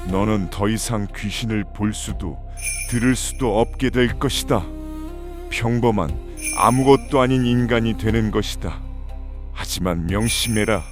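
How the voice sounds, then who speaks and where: Male actor as ancient god for occult audio drama: arrogant, solemn, commanding, lowish pitch, music underneath. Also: close to an everyday voice. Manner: imperious, solemn, declarative.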